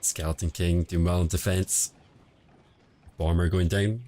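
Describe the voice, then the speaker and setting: A man streaming casual commentary on a mobile game match, quiet and relaxed, answering chat between calls of the play. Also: measured pace. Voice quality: quiet, low-level voice. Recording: home stream audio with faint music behind. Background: faint background music.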